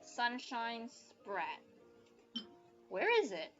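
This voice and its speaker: High-voiced woman streaming a game over music. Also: lively intonation, drawn-out words, highs cut off. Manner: muttering to self, swears casually. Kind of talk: casual live gameplay commentary. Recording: loud game music behind.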